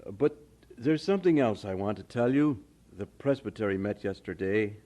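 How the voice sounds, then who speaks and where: An actor playing a kindly older pastor in an audio drama, genial and measured as he encourages like a mentor. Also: on the low side, expressive, mellow, close to an everyday voice. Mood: warmly serious.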